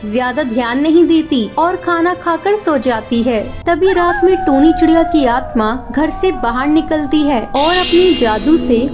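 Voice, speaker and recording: A woman narrates a scripted children's tale. Her voice is high, and music plays clearly behind her. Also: very animated, a little slow, hardly any pauses. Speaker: storyteller, narrator.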